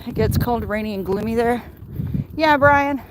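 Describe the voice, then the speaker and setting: A woman's voice: on the high side, normal speed, heavy background noise. An American woman chatting casually and in a rambling way with livestream viewers, answering comments as she walks outdoors. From Michigan, United States. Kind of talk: casual livestream chat while walking.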